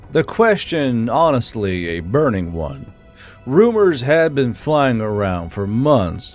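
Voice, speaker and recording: Slightly low-key male audiobook narrator over music. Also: a little mellow. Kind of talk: scripted fantasy audiobook narration.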